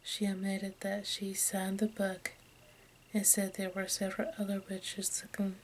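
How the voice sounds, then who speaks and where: Lady doing asmr reading, breathy hushed whisper, pretty flat and slow, stumbles a bit. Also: medium pitch. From United States. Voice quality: soft, breathy whisper mixed with hushed speech. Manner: halting, stumbles over names and words. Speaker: ASMR video creator. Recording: faint background noise.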